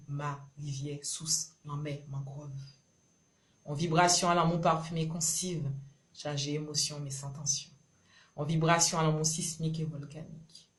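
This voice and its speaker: A woman from Guadeloupe with a Creole accent, performing spoken-word love poetry in short, rhythmic, incantatory phrases. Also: deep voice. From Marie-Galante, Guadeloupe. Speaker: poet.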